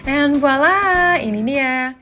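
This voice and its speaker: A woman's voice: sits high, expressive, takes it slowly, sharp tone. A woman narrating a cooking video in a chatty way, full of small fillers, with music playing behind her. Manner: chatty, with many fillers like "nah" and "eh". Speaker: cooking vlogger. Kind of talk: voice-over narration of a recipe. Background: background music.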